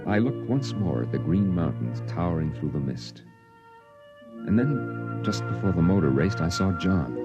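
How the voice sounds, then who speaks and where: An actor playing a somber narrator in a 1950s radio drama, telling the tale in grave, measured phrases. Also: male voice, deep voice, very animated, lo-fi sound, over music. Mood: grave, mournful. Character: somber man recalling a Martian exodus.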